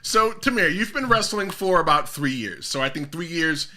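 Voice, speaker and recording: A man with a Jamaican American and New York accent hosts a relaxed interview, prodding and teasing his guest with plenty of energy. His voice is sharp and very bright, sitting a touch higher than most men's. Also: normal speed. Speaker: podcast host. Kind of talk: casual interview.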